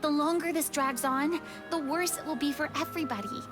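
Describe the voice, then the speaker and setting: A woman's voice: high voice, fairly even intonation, fairly quick, lightly acted. A woman playing an upbeat young adventurer who sounds cheerful and encouraging, with game music behind.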